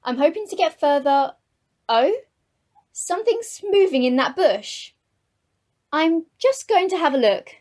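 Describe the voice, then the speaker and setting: A woman plays an earnest on-the-scene news reporter in a radio drama. Her high voice moves with plenty of melody, and her wording is formal. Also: expressive, unhurried, long pauses, darkish tone. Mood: puzzled, curious. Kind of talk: mock outside-broadcast news report in radio play.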